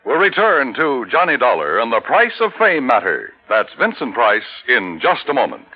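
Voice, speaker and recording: A man's voice: mid-range voice, huge pitch swings, moderate pace. A man announcing a radio drama in the formal 1950s style. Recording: muffled old radio, music behind.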